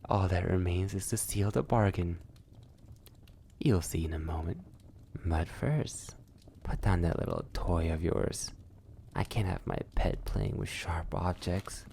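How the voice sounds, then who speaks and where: Guy doing a possessive old vampire master for asmr roleplay, dark mellow voice, super slow, silky and menacing, half whispered. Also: sits low, vocal fry, slight background noise. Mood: commanding, pleased. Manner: silky, menacing, condescending endearments, often dropping to a whisper. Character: sadistic, possessive centuries-old male vampire lord.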